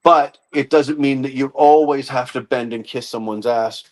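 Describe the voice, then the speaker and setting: Casting: middle-aged man, mid-pitched, loose rambling talker who swears and circles back on his points. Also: fairly even intonation, moderate pace. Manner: rambling, profane, trails off and restarts. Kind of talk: casual chat.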